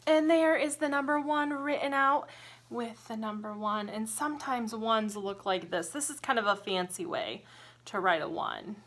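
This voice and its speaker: A woman teacher reading a children's picture book aloud in an expressive, sing-song way, pausing to ask young listeners simple questions. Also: a little high. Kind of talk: interactive children's storybook read-aloud with counting and singing.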